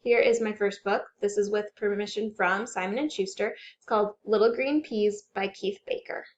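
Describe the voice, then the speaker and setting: A woman hosting a children's storytime, reading aloud and chanting rhymes in an animated, exaggerated way for young children. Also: medium pitch, normal speed, muffled recording. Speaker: children's librarian storytime host.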